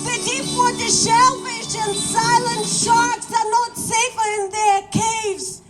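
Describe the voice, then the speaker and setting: A woman performing dramatic spoken-word poetry in a deliberate, emphatic, incantatory manner. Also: very high-pitched, steady tone. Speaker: writer performing own piece. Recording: loud music over live performance. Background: loud instrumental music.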